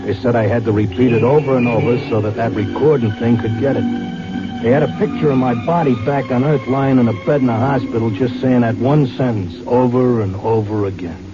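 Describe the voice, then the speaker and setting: An actor playing a stubborn, cynical, wisecracking husband in a radio drama, with slangy, blunt delivery. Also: male voice, mid-range voice, steady tone, a little fast, music in the background, close to an everyday voice. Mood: weary narration. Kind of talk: old-time radio drama acting with narration. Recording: muffled, noisy vintage radio recording.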